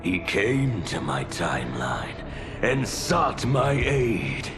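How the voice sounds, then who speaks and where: An actor playing a gleeful, theatrical villain, a cleric of chaos in a video game, with a gravelly voice. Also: male voice, on the low side, big pitch swings. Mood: mock-grateful, gleeful. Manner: theatrical, drawn-out delivery. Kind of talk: video game cutscene acting. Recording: loud game music underneath.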